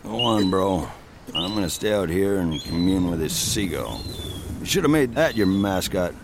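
An actor playing a desperate, washed-up friend, slangy and foul-mouthed, pleading bitterly in a naturalistic audio drama. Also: male voice, deep voice, lively intonation, normal speed, background noise. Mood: resigned, sardonic.

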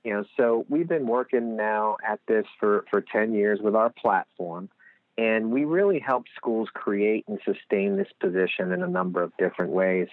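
A man's voice: fairly low pitch, normal speed, dry recording. A man with a Lehigh Valley Pennsylvania accent, a guest on a podcast interview, explaining his work earnestly with many "you know" fillers. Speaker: guest, nonprofit executive director. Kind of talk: interview answers. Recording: tinny phone-line audio.

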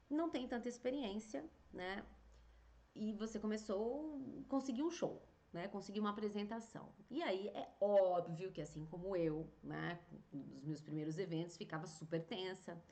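A woman, hosting a podcast and telling a personal story in a chatty, animated way, with many fillers and restarts. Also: mid-range voice. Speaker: singer and podcast host. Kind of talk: casual storytelling monologue.

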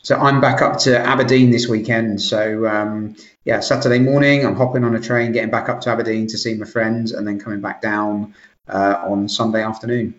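A man from London with a London English accent, chatting in a relaxed way in a panel discussion about university outings. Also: fairly low pitch, a little fast. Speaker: university recruitment staff. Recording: echoey room, dull lo-fi sound.

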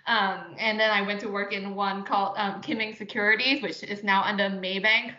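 Bright-voiced woman, roomy and dull sound. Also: mid-range voice, steady tone, moderate pace. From Singapore. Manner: chatty, frequent fillers, laughs often. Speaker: guest. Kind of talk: casual interview conversation.

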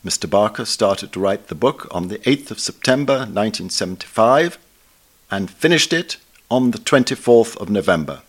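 A man's voice: on the low side, lively intonation, moderate pace. A man in his seventies with an upper-class English accent, reading a scripted book review in a formal, emphatic, erudite manner.

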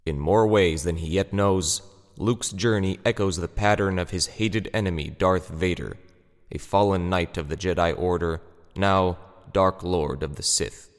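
A man's voice: sits low, unhurried. A man reading dramatic scripted narration for an audio drama. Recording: long reverb over music.

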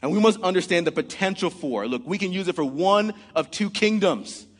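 A man's voice: sits high, expressive, brisk, breathy edge, long reverb tail. A man in his forties preaching a sermon in earnest, often opening with "man" or "look". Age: 40s. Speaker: pastor.

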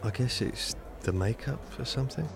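A man's voice: medium pitch, fairly quick, creaky, over music, natural voice. An actor playing an awkward, tactless British man on a first date in an audio drama, joking clumsily and bluntly. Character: awkward, tactless male first-date novice, foot in mouth.

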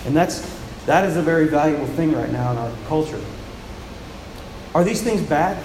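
A soft-spoken man preaches into a handheld mic, his voice sitting a little high for a man. He often repeats words as he feels his way through each point. The sound rings around a large, echoing hall with steady background noise. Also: moderate pace. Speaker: pastor. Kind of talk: sermon with scripture reading. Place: church with a large echoing room. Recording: echoey, reverberant hall with noise.

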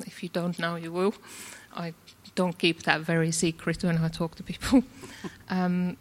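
A woman with a Finnish accent and a somewhat low voice tells her personal story. She speaks hesitantly, pausing with many fillers and restarts as she goes. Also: level tone. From Finland. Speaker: church member sharing her story. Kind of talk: personal testimony.

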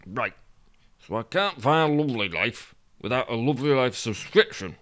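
A man's voice: medium pitch, animated, moderate pace, a bit bright, lightly acted. A man playing a belligerent, demanding, foul-mouthed man in a comic story. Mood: exasperated. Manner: blustering, demanding, sweary. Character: belligerent, foul-mouthed man bossing his own legs.

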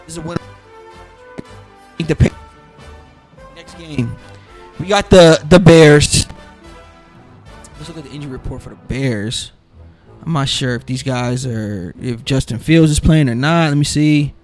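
Raspy, under-the-weather man podcasting. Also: fairly high pitch, unhurried, pauses often, a little mellow, faint music behind. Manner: loose, rambling, many fillers and restarts. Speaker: podcast host making football picks. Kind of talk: casual solo podcast.

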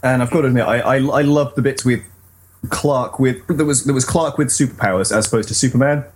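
A man's voice: medium pitch, brisk, slight background noise. A man co-hosting a podcast in casual, conversational banter. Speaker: podcast co-host.

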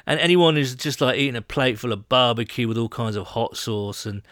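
A man chatting on a podcast in a rambling way, often breaking into giggles. His voice sits at an ordinary middle pitch. The recording is close and dry, with no room sound. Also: brisk, a bit bright, no room sound. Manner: giggly, rambling, reads listener questions. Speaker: podcast co-host. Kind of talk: casual chat.

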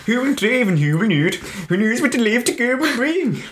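A man's voice: extremely high pitch, big pitch swings, normal speed, a little hiss or hum, voice pushed up. Man putting on a mock Swedish ad reader. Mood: playful.

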